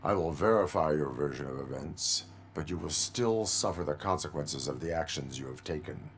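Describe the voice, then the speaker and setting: A man playing a stoic cyborg police officer in a video game, speaking in a clipped, formal, deadpan way. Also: a bass voice, normal speed, a little hiss or hum. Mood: stern, impassive. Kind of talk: video game cutscene acting.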